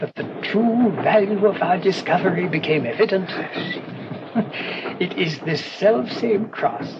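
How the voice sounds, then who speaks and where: English country priest, old radio play: lightish high voice, meek, garrulous, apologetic; dulled vintage sound. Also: male voice, expressive, a little slow, vocal fry, exaggerated voice. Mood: eager, explaining. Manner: apologetic, deferential, flustered, wordy. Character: humble, fussy, garrulous English parish priest. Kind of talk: old-time radio drama acting. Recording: dull, noisy old radio recording.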